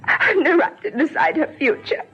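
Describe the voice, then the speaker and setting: High-voiced despairing young woman, old radio drama. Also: very animated, normal speed, a bit bright, faint music behind, full character voice. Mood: resolute, desperate. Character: despairing, bitter young mother on a ledge, gentle, wistful. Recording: dull, faintly noisy old radio recording.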